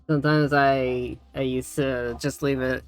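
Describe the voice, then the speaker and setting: A woman's voice: a bass voice, flat delivery, a little slow, clear, resonant tone, no room sound. Woman chatting casually over background music. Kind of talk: casual chat while working on a project.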